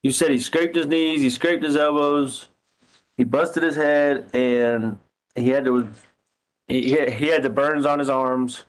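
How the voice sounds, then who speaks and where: Medium-pitched man asking halting questions by phone. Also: fairly even intonation. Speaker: caller questioning the other person. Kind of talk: probing phone conversation. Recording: recorded phone call.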